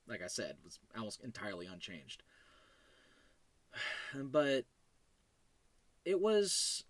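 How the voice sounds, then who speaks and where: A man, around his thirties or older, talking casually and haltingly as a podcast host, rambling in a self-deprecating, chuckly way. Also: medium pitch, a bit bright, dry recording. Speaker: podcast host and writer of an audio drama series. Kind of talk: casual rambling solo commentary.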